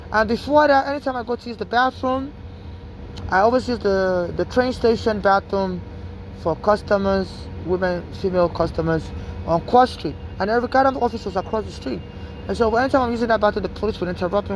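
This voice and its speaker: A woman's voice: medium pitch, a little hiss or hum, poor audio. A woman from Nigeria with a mixed Nigerian and American accent, talking urgently in a rambling street monologue full of restarts and "you know". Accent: Nigerian-American mix.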